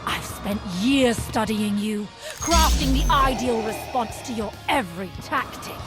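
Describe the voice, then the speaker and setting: An actress playing a proud, obsessive Sith rival in a video game, with an intense, theatrical delivery. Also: female voice, on the high side, lively intonation, measured pace. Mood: menacing, brooding. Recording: loud music under the voice.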